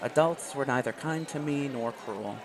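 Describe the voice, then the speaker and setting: A man's voice: mid-range voice, normal speed, a crowd in the background, noisy background. A man reading a visual novel aloud in first person, with small restarts. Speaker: let's play host.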